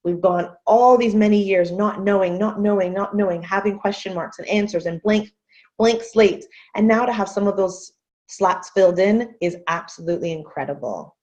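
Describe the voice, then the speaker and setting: A woman in her thirties from Canada giving an earnest, measured webinar talk as a guest speaker over a video call. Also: medium pitch, a little mellow. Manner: earnest, measured, repeats phrases for emphasis. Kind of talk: webinar presentation. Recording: muffled video call audio.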